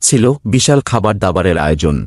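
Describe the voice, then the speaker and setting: A man's voice: low-pitched, animated, fast, no echo, clean studio sound. A man narrating a scripted children's story as the storyteller.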